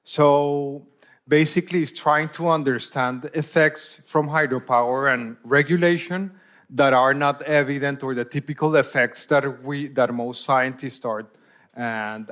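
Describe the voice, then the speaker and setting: A man's voice: medium pitch, fairly bright tone. A man from Colombia, giving a conference presentation as a hydrologist and often repeating words as he searches for phrasing.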